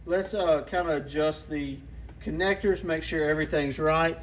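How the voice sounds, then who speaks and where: Casual man explaining gear, slightly higher-pitched voice. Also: normal speed. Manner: plainspoken, explanatory, informal. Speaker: product reviewer and channel host. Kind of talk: casual hands-on gear walkaround.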